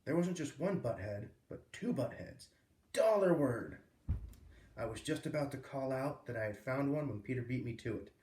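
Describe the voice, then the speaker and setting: A man reading a children's book aloud as a storyteller, with expressive, emphatic phrasing. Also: mid-range voice, normal speed. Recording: roomy echo, distant microphone.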